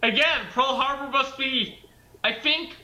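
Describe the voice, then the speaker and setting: A man's voice: very high voice, unhurried, pushed and bright, muffled recording, a little hiss or hum. A man casually speculating and joking as a commentator, in a roomy, echoing recording.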